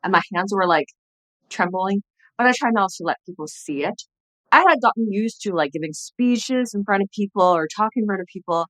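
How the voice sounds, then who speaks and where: A woman's voice: mid-range voice, lively intonation, brisk, a bit bright, no echo, low-quality recording. A woman in her thirties who grew up speaking Chinese, talking with a Chinese accent as an interview guest on a video call, earnest and reflective with frequent restarts. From China. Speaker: guest, entrepreneur and former TV journalist. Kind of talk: casual interview conversation. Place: home room, indoors. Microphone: video call, webcam at desk distance.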